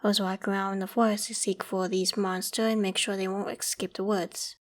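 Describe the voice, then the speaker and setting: A woman's voice: mid-range voice, level tone, fairly quick, natural voice. A woman voicing a friendly, self-conscious young half-human werewolf who talks casually and protectively to the listener in an ASMR roleplay. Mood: reassuring, explaining. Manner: casual, conversational, gently protective. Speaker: voice actor in audio roleplay.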